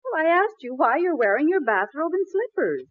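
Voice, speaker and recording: American housewife and mother, high voice, extremely animated swoops, chiding, long-suffering, 1940s radio comedy. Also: a little fast. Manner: theatrical, chiding. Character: long-suffering middle-aged housewife and mother, gently scolding. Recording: thin, tinny 1940s radio broadcast.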